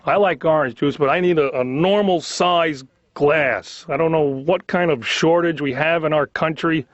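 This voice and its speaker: High-voiced, exasperated male comedian. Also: normal speed, no echo. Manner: exasperated, neurotic complaining. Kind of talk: conversational observational comedy monologue. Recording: slightly dull lo-fi cartoon soundtrack.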